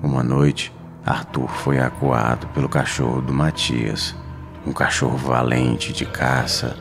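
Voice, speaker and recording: A Brazilian man narrating a scripted horror story in a dramatic, suspenseful manner with deliberate pauses. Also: rumbling low, level tone. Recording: narration over clear background music. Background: music.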